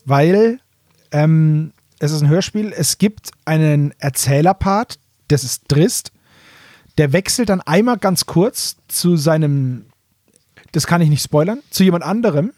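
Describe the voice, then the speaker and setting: Man, podcast host, slightly high voice, dry sound, casual fan chat, animated colloquial explaining. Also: slow, dry recording. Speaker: podcast host presenting audio dramas.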